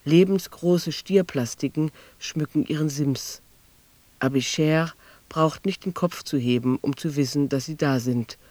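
A man's voice: on the high side, measured pace, a little mellow, no room sound, close to an everyday voice. A man performing as a sober, precise narrator in a literary radio play. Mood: sober, observant.

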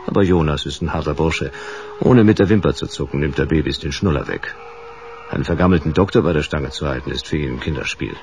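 An actor playing a hard-boiled private detective in a radio play, wry and unruffled, with a laconic, sardonic delivery. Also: male voice, very deep voice, fast, a little mellow, slight background noise. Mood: wry noir narration. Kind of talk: radio play dialogue and noir first-person narration. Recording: radio play with music underneath.

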